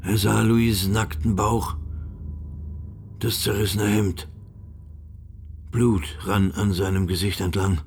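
A man reading scripted literary narration for a radio drama in a measured, grave way, with music underneath. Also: on the low side, little pitch movement, creaky, a little mellow, a little hiss or hum.

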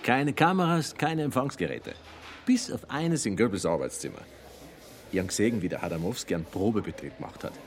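An actor playing a troubled, devout Tyrolean film star and storyteller in a radio play, with a throaty Tyrolean accent. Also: male voice, fairly low pitch, lively intonation, brisk, natural voice. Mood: animated, dismissive. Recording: noisy ambience behind the voice.

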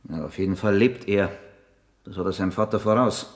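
An actor playing a persistent police detective in a German radio drama, questioning in measured, insistent short sentences. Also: male voice, deep voice. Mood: probing, dry. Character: probing, persistent male police detective inspector.

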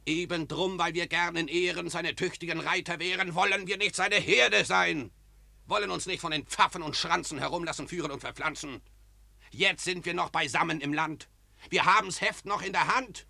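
A gravelly, rousing soldier. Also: male voice, fairly high pitch, fairly even intonation, moderate pace, sharp tone, dry recording, full character voice. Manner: rousing, declamatory verse. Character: bold soldier rallying his comrades. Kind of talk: classic verse radio play.

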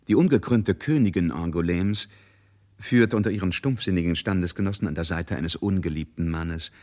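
A man narrating a literary radio drama with wry, measured, ironic commentary. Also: sits low, darkish tone.

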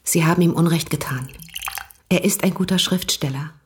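An actress playing a candid, gently skeptical young married woman in a radio play, speaking in a measured, direct, quietly ironic way. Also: lowish voice, background noise, natural voice. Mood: earnest, firm. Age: young adult.

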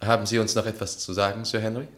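Man acting a low-voiced, hesitant husband. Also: level tone, fast, fairly bright tone, lightly acted. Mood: troubled, hesitant. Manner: hesitant, resigned. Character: hesitant, conscience-stricken husband, resigned and awkward. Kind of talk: German radio play acting.

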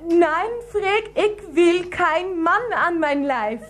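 Ailing, melodramatic German woman, radio play; extremely high voice, slow, German accent, plaintive. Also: animated, exaggerated voice. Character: whiny, theatrical, ailing German woman, Nancy. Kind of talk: 1990s radio drama acting.

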